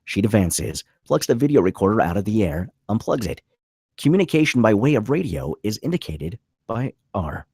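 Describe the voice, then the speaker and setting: A man's voice: lowish voice, big pitch swings, moderate pace, a little mellow, breathy edge, no echo, studio quality. A man reading scripted stage directions as the narrator of a screenplay.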